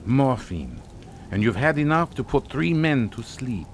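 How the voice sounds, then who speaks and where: An actor playing a menacing, scornful older Hungarian count in a radio suspense drama, speaking in a formal, taunting, theatrical manner. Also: male voice, medium pitch, very animated, a little slow, slight background noise. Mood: coolly menacing.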